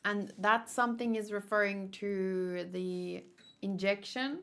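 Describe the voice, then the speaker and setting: A woman with as her hosts a manga read-along. She moves between reading aloud and casual asides to her listeners, in a mid-pitched voice. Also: steady tone, takes it slowly, fairly bright tone.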